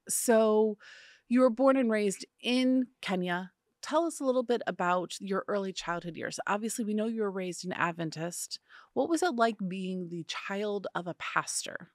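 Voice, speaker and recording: A woman's voice: medium pitch, animated, unhurried, dry recording, studio quality. A woman with an American accent hosting an interview in a casual, conversational style. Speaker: interview host.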